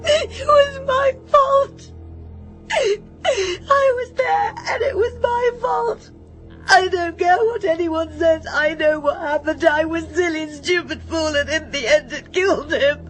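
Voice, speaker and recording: A woman's voice: very high-pitched, slow pace, rough recording. An actress playing an anguished, guilt-ridden grieving daughter, crying as she speaks in an emotional radio drama. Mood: anguished, tearful. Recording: radio play with music underneath.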